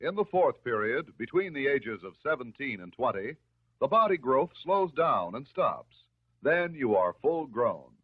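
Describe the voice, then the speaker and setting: A man's voice: medium pitch, very animated, breathy edge. Tinny 1950s American male film narrator. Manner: measured, instructive, reassuring. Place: voice-over recorded for film. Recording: muffled, narrow-band 1950s film soundtrack.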